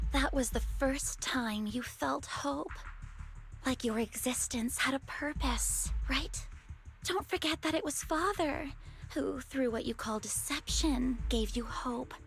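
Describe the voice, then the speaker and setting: A woman's voice: high voice, takes it slowly, gravelly, heavily acted. An actress voicing a cold, scheming cult woman in a video game, condescending and persuasive, with music behind. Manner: condescending, persuasive, coolly mocking.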